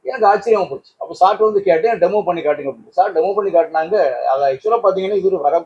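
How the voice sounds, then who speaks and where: A man's voice: high voice, rapid-fire, a little mellow. A man giving an informal testimonial as a guest, wordy and often rephrasing himself. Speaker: guest, collector of vintage radios. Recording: dull sound with faint background noise.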